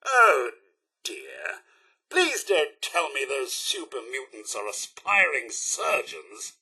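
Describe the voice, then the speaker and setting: An actor playing a polite, fussy robot butler in a video game, with a British accent and a formal, prim, drily droll manner. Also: male voice, medium pitch, huge pitch swings, takes it slowly, vocal fry, pushed and bright, breathy edge, full character voice. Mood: anxious, pleading. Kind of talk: scripted video game voice acting.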